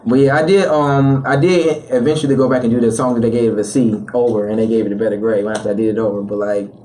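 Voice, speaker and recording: A man's voice: medium pitch, fast, recorded from a distance, slight background noise. Laid-back young man, slightly off-mic. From Atlanta area, born in Chicago. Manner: relaxed, loose, lots of "like" fillers. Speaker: rapper guest. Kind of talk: casual interview chat. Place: small podcast studio with foam panels. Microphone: studio mic on boom arm.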